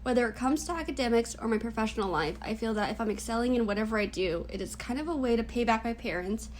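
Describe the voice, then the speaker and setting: A woman's voice: a little high, quick pace, slight background noise. Earnest young woman reading answers. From United States. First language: Cantonese. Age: 20s. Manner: earnest, scripted, reflective. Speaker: interviewee. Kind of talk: read-aloud class project interview.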